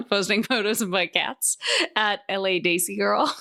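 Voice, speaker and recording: A woman's voice: mid-range voice, a little slow, vocal fry, pushed and bright. A woman speaking casually as a player on a tabletop game podcast.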